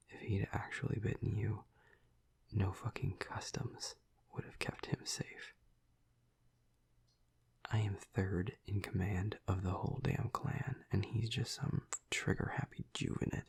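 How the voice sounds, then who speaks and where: A man playing a flirty, protective vampire of high rank in an intimate audio roleplay, speaking softly and hesitantly in a breathy, gravelly half-whisper. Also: level tone, exaggerated voice. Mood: quietly menacing, scornful. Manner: slow, hesitant, soft, soothing. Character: flirty, protective male vampire, high-ranking in his clan.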